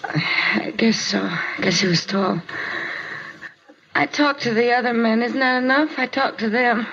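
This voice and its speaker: An actress playing a dazed, pained mugging victim in a 1950s radio drama, answering haltingly and repeating herself. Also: female voice, fairly high pitch, a bit bright. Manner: halting, repetitive, confused short answers. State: in pain, weak. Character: dazed, hurting woman mugging victim, pleading. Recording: muffled, noisy 1950s radio recording.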